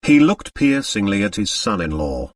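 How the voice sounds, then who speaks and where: A man's voice: on the low side, very animated, moderate pace, no room sound, studio quality, distant mic. A man reading literary narration as an audiobook narrator.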